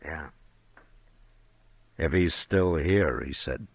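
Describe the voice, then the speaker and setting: A frontier lawman in a radio western. His voice is very deep, and he talks plainly and calmly in few words, steady and kindly. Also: no room sound, full character voice. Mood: easygoing. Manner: laconic, unhurried, plain-spoken. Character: calm, laconic frontier marshal, steady and kindly. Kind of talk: old-time radio western acting.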